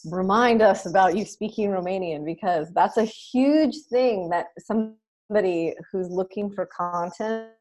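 Female podcast co-host, eager and emphatic, average pitch. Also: unhurried, a little mellow. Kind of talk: casual interview chat.

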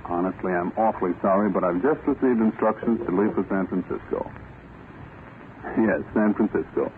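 An actor playing a dutiful, polite army lieutenant, crisp and earnest, in a 1940s radio drama. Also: male voice, low-pitched, moderate pace, close to an everyday voice. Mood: apologetic, affable. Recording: tinny, hissy vintage radio recording.

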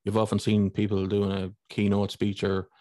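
A man's voice: fairly low pitch, monotone, normal speed, a little mellow, no room sound, clean studio sound. A man hosting a podcast, chatting informally with rambling, stumbling restarts. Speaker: podcast host. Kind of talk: casual interview chat.

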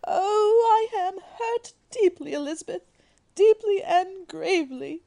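A fretful middle-aged matchmaking mother, played by a woman in a radio play. Her voice is very high but soft-edged and mellow. She is imperious, theatrical and full of self-pity. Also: lively intonation, a little slow, gravelly, full character voice. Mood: wailing, self-pitying. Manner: imperious, wailing, self-pitying. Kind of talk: theatrical radio drama acting.

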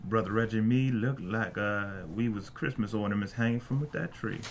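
A man's voice: lowish voice, moderate pace, a little hiss or hum, lightly acted. A man playing a sinister, haunted old bluesman, at a screenplay table read. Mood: haunted, bitter.

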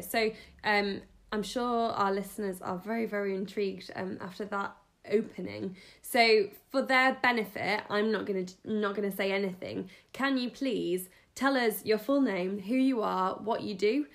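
A woman's voice: mid-range voice, moderate pace. A woman hosting a podcast interview over a video call, upbeat and chatty. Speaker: podcast host.

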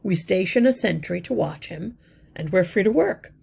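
A woman's voice: mid-range voice, moderate pace, vocal fry, breathy edge, exaggerated voice. A woman voicing a practical young rat. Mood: explaining. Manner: calm, matter-of-fact explaining. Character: practical, brave young male rat leader.

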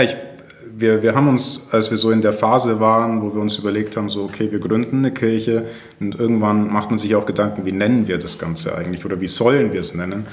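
A man, a pastor and church co-founder, chatting casually on a podcast, relaxed and laughing often, thinking aloud. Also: on the low side. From Germany. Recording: roomy echo.

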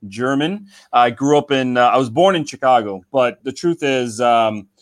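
A relaxed man from Ohio talks through a story at a medium pitch, calling people "man" as he goes. The sound is dry and close, with almost no room around it. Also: moderate pace, no echo. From Cincinnati, Ohio, US. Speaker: cigar company guest. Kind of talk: casual podcast chat.

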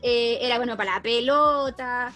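High-voiced Chilean woman chatting informally. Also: normal speed, faint music behind. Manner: informal, animated explaining with colloquial slang. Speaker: teacher. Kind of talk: casual discussion of a story.

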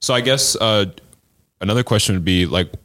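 A man's voice: lowish voice, a little fast, fairly bright tone, dry recording. A man interviewing a guest in a casual chat, affirming the guest often with short backchannels.

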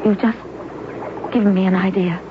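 A woman's voice: mid-range voice, fairly even intonation, background noise, close to an everyday voice. Woman acting anguished, self-sacrificing wife, old radio drama. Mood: urgent pleading. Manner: earnest, deliberate, dramatic phrasing. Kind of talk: old-time radio drama acting and first-person narration. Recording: muffled old radio recording, music behind.

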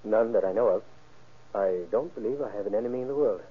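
An actor playing a shaken, wounded husband in an old-time radio crime drama, speaking haltingly and earnestly. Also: male voice, fairly low pitch, slightly rough. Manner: halting, earnest witness account. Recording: muffled, band-limited vintage radio recording.

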